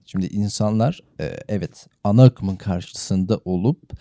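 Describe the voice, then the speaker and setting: A man's voice: fairly low pitch, a little slow, dark tone, no room sound, clean studio sound. A man, co-hosting a podcast in a casual, conversational way. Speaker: podcast co-host. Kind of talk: casual chat.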